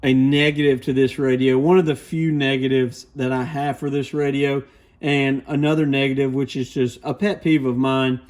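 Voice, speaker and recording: A man with a medium-pitched voice walks through a product for beginners. He speaks informally and plainly, explaining each point in the casual style of a hands-on reviewer. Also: a little mellow. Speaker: radio shop product reviewer, presenter.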